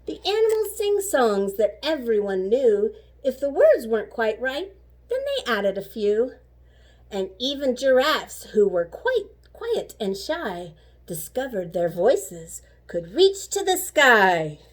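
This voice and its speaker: A woman reading a children's story aloud like a teacher, animated and rhythmic with the rhymes. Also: a little high, slow pace. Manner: animated, rhythmic rhyming delivery.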